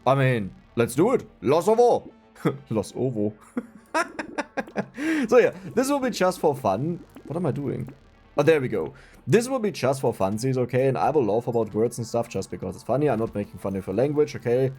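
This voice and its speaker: A man's voice: mid-range voice, sing-song, normal speed, darkish tone, slightly rough, faint music behind. A young man from Germany with a German accent, chatting casually and laughing at himself while commenting on a video game. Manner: chatty, self-mocking, laughs often. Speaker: gaming YouTuber.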